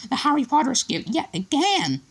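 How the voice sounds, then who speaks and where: A reader voicing a small, servile male house elf with a high-pitched, squeaky voice who talks about himself by name. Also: sing-song, a little fast, a bit bright, heavily acted. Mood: earnest, fearful. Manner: earnest, speaks of himself by name.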